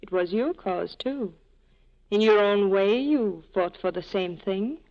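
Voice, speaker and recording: A woman's voice: medium pitch, animated, unhurried, muffled recording. An actress playing a torn, desperate woman pleading with a former lover, in earnest 1940s radio drama style on an old broadcast recording.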